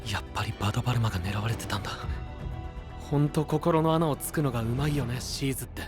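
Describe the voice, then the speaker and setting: An actor playing a perceptive, boyish young knight, casual and concerned, in an anime-style audio drama. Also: male voice, medium pitch, fast, music in the background, close to an everyday voice. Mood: rueful.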